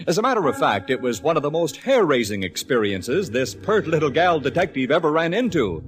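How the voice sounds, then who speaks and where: A man's voice: fairly high pitch, big pitch swings, brisk. A male radio announcer introducing a 1940s drama with a theatrical, lilting delivery. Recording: organ music behind.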